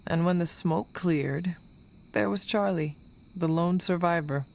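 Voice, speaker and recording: A woman playing an earnest, solemn warrior heroine in a video game, speaking in a formal, measured way. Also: fairly low pitch, gravelly, a little mellow, big-room sound. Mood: reflective. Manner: formal, measured, avoids contractions. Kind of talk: video game character acting.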